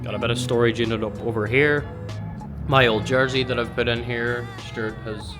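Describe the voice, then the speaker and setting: A man with a Northern Irish accent giving a chatty, rambling vlog tour of an office, filmed on a handheld gimbal camera. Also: medium pitch. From Northern Ireland. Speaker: gaming vlogger. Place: indoor office space.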